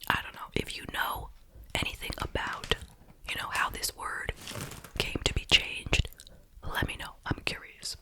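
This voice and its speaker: A woman speaking in a breathy, unvoiced whisper, chatting and musing through an ASMR food review. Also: a little slow. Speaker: host reviewing snacks.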